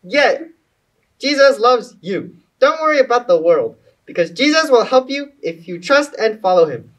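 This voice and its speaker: A woman's voice: fairly high pitch, big pitch swings, moderate pace, rough recording. A woman voicing an earnest, friendly puppet who preaches the gospel in a scripted children's show. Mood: earnest, reassuring.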